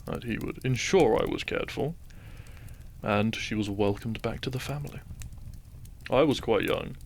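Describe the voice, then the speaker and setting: Casting: male actor for a gentle farm-raised doctor in an audio romance; soft-spoken, measured, low with some fry. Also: a little hiss or hum. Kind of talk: romantic audio roleplay addressed to the listener.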